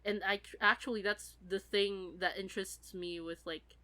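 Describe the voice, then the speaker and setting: A woman's voice: medium pitch, steady tone, normal speed, pushed and bright. A woman who is sick, chatting casually as a podcast co-host. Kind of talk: casual chat.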